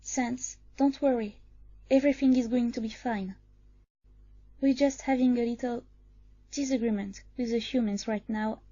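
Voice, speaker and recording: A woman voicing a gentle, doting skeleton monster mother, tender and reassuring, in an animated comic dub. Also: a little high, moderate pace, natural voice. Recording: muffled audio with music underneath.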